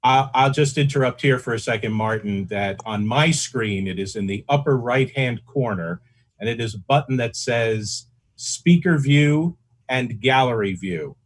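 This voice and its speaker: A man's voice: mid-range voice, animated, measured pace. A man chairing a club meeting over a video call.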